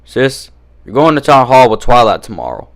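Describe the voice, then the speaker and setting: A man's voice: medium pitch, very animated, normal speed, vocal fry. Man as a firm big-brother farm pony. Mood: firm, caring. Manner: firm, ordering.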